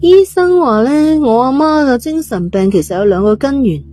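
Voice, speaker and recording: A woman's voice: sits high, animated, moderate pace, warm tone, pitched above the performer's own voice. A woman voicing a defiant, detached teenage girl in a storybook reading, blunt and offhand. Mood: matter-of-fact.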